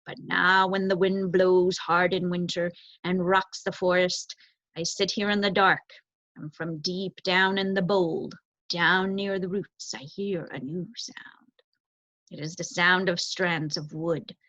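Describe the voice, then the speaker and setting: A woman voicing a solemn, resigned old male owl in a children's storybook, with formal, measured phrasing. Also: lowish voice, fairly bright tone, no room sound, highs cut off, heavily acted. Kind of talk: children's storybook read aloud.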